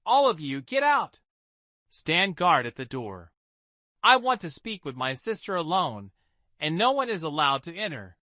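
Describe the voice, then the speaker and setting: A spiteful, sharp-tongued young noblewoman, high and shrill, swinging wide in pitch, as a man reading the tale puts her on. Her voice is bright and crisp in a dry, close recording. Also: very animated, measured pace, no room sound, full character voice. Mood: commanding. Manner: shrill angry outbursts.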